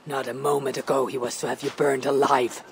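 A man playing a terse, steady warrior. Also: medium pitch, fairly quick, creaky, noisy background, close to an everyday voice. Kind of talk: video game cutscene acting.

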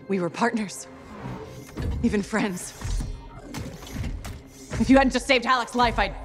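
A woman's voice: on the high side, a little slow, lightly acted. A woman playing a blunt, loyal exosuit pilot in a video game cutscene, speaking in a dry, direct way. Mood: stern. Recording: music behind the voice.

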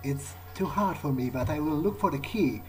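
A man's voice: on the high side, animated, moderate pace, faint music behind. A man doing in-character roleplay commentary over a video game, thinking aloud and often repeating words hesitantly. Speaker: gaming video player.

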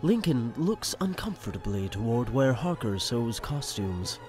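Lively male radio play narrator over music. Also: medium pitch, measured pace.

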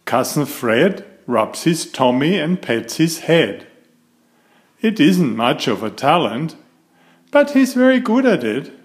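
A man reading a picture book aloud to young children in an expressive, deliberate storytelling manner. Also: fairly high pitch. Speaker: picture-book reader and author of children's stories.

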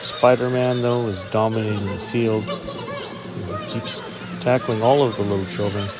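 A man's voice: fairly low pitch, huge pitch swings, measured pace. A middle-aged man giving casual vlog narration and commentary on a sports outing. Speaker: vlogger. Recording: loud music and crowd noise behind. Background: loud music, stadium crowd.

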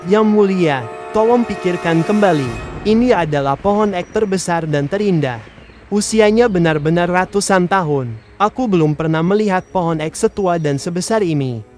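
A man's voice: high voice, animated, moderate pace, a little mellow, faint music behind. A man voicing a frightened soldier-woodcutter who stammers and begs his king to stop in a dubbed cartoon. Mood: fearful. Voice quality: nervous, stammering.

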